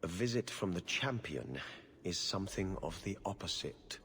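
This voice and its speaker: A weary, harried bureaucrat in a video game. His deep voice rises and falls with formal, dry exasperation in long reverb. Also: lively intonation, moderate pace, a bit bright, a little hiss or hum. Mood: exasperated. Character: weary, harried, sardonic male city official. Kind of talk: video game cutscene acting.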